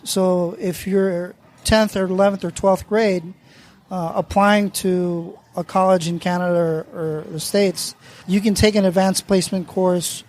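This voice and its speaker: A man from Mexico with a notably high voice for a man talks as a round-table guest. He explains things at length in an easy, conversational way and laughs readily between points. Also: darkish tone, a little hiss or hum. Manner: thoughtful, explanatory, laughs easily. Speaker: guest panelist. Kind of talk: casual round-table chat.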